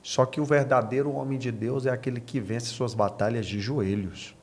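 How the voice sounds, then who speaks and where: A man's voice: medium pitch, steady tone, moderate pace. A man with a Brazilian accent, a pastor speaking as a guest in a conversational preaching style, repeating phrases for emphasis.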